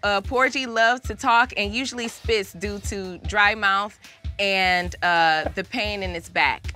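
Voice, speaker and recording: Bright-voiced American woman hosting. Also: medium pitch, a little slow, soft background music. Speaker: host and voice acting coach running a challenge. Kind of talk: casual game show hosting. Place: podcast studio.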